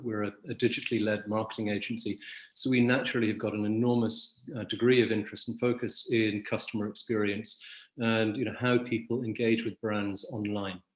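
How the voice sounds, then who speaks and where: A man's voice: on the low side, steady tone, brisk. Man hosting a roomy-sounding webinar. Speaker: webinar host. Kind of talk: business webinar presenting.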